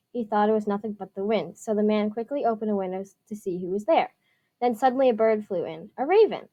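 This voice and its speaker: A woman's voice: medium pitch, a little mellow. A woman narrator reading a scripted story aloud.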